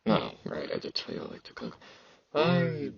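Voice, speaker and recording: A man playing an aloof, battle-hardened fantasy knight in an ASMR roleplay, halting and terse, with a husky, gravelly voice and sibilant S sounds. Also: wildly swooping pitch, dry recording, lo-fi sound, full character voice. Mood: resigned, stoic. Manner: halting, terse, low-key, socially awkward.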